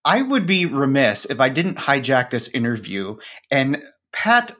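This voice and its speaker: Man chatting with lively, swinging pitch. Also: unhurried. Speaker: podcast co-host appearing as guest. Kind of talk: casual interview chat.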